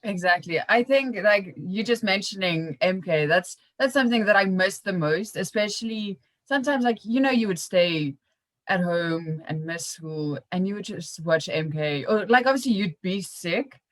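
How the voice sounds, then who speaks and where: A woman's voice: medium pitch, lively intonation, normal speed. A woman hosting a podcast, asking questions in a casual, chatty interview style. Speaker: podcast host.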